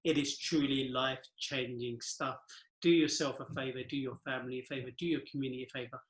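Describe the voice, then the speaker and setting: A man's voice: medium pitch, fairly even intonation, a little fast. Earnest middle-aged man in an echoey room. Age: 40s. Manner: earnest, rhetorical questions, nervous laughs. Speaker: finance commentator. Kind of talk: casual monologue to camera.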